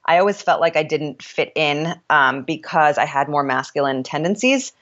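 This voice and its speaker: Deep-voiced woman, frank and opinionated. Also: animated, fairly bright tone, lo-fi sound. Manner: frank and opinionated, with quick restarts. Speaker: author and startup founder, guest. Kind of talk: candid interview conversation.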